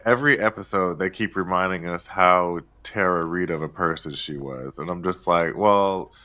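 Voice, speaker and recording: A man's voice: sits low, normal speed, sharp tone. A man co-hosting a casual recap chat, giving dry, wry commentary. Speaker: podcast co-host.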